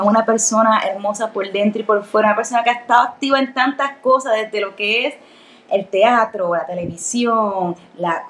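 Woman host, echoey room. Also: on the high side. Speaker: podcast host. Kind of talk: interview.

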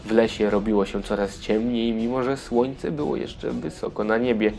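Man, children's story narration, scripted, low voice, vocal fry. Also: fairly even intonation, normal speed, darkish tone.

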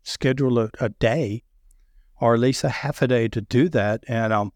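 A middle-aged man with a deep Southern accent, talking loudly and telling sales stories with many restarts. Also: normal speed, dry recording. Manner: loud, animated storyteller with frequent restarts. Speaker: sales podcast guest. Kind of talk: casual conversation.

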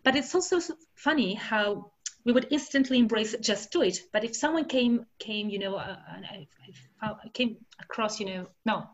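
A woman's voice: on the high side, moderate pace, dull audio. A woman from Argentina, talking casually about translation with frequent fillers and restarts. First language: Spanish. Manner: hesitant, many fillers and restarts. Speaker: translator discussing research. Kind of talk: casual conversation.

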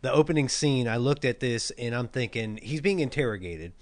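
A man's voice: medium pitch, animated, brisk. A man co-hosting a casual film discussion, speaking in an opinionated, emphatic way. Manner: opinionated, emphatic, swears freely. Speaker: podcast co-host.

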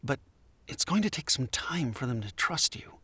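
A man's voice: mid-range voice, sing-song, moderate pace, pushed and bright, husky, full character voice. A man playing an awkward, scatterbrained anthropologist in an audio drama, stammering and hesitant as he talks. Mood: uneasy, hesitant.

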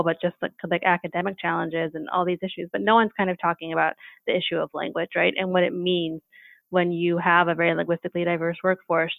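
Gravelly woman explaining on a thin line. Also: lowish voice, no room sound. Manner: thoughtful, explanatory, many "right?" tags. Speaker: guest expert on teacher preparation. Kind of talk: podcast conversation. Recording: thin phone-like line, dry.

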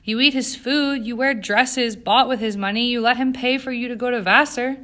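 A woman's voice: a little high, normal speed, fairly bright tone, voice pushed up, close to an everyday voice. A woman voicing an indignant, earnest young society woman from an audiobook, emphatic and accusing. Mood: accusing, pressing. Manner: emphatic, sputtering outrage. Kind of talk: audiobook character acting.

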